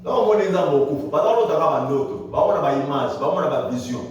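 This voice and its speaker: A male pastor preaches a Sunday sermon, swinging between loud high calls and low quiet asides, with hallelujahs throughout. His voice sits a little high for a man and is caught from a distance in a very echoey church hall, with a faint hiss behind it. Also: lively intonation, fairly quick, lo-fi sound. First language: French. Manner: preaching with dramatic swings in pitch and loudness, frequent hallelujahs.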